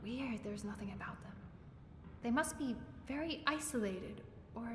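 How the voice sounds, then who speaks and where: Actress as eager, socially awkward young bookworm in a fantasy game. Higher register, rambling delivery, reverberant space. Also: female voice, normal speed, close to an everyday voice. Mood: thoughtful. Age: young adult. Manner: gushing, rambling, self-correcting. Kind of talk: video game character acting. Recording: echoey hall, faint background noise.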